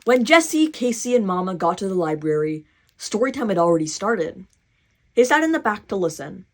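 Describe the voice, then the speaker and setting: A woman reads a picture book aloud for children. Her delivery is very animated and dramatic, with pitch that swings widely and enthusiastic asides to the listener. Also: normal speed. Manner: animated, dramatic, cheering reader. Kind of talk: expressive children's storybook reading with excited asides.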